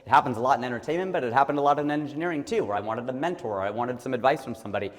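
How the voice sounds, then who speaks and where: A man's voice: medium pitch, very fast, long reverb tail. A man in his forties from Ohio, giving a motivational talk in a joking, conversational way and acting out the people he quotes. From Ohio, USA. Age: 40s. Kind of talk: stand-up style motivational talk.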